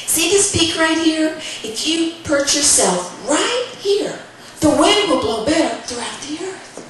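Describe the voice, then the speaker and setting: A woman's voice: high-pitched, animated, measured pace, a crowd in the background, pitched above the performer's own voice. A woman voicing a mischievous little boy in a folktale, whiny and wheedling in a sing-song, exaggerated way. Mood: sly, flattering. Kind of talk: oral folktale storytelling. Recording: echoey room, distant mic, faint noise.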